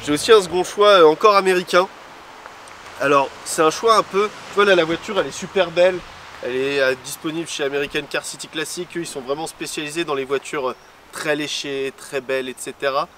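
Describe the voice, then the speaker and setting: A fairly high-voiced man chats loosely about cars and music, joking and rambling with plenty of hesitations. He sounds set back from the microphone, with faint outdoor noise around him. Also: a little fast, recorded from a distance. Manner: relaxed, rambling, joking, with frequent "euh". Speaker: co-host.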